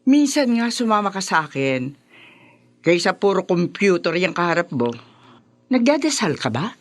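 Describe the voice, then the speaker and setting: An older mother-in-law in a radio drama. She is bossy and proud, talks on and on, and her voice rises and falls in lively swoops. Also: lowish voice, normal speed, no echo. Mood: bossy, chatty. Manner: chatty, bossy, exclamatory. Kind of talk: Tagalog radio drama acting.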